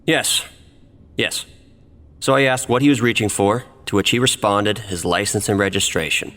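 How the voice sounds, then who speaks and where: A man's voice: fairly low pitch, normal speed, a bit bright, big-room sound, lightly acted. A man playing a defensive police officer giving formal, self-justifying testimony in a courtroom audio drama.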